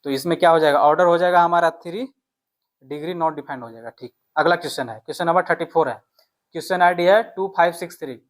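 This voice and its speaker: Casting: maths teacher, mid-pitched voice, walking students through exam problems step by step with frequent check-in tags. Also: fast talker. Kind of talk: exam-question lesson, explaining step by step.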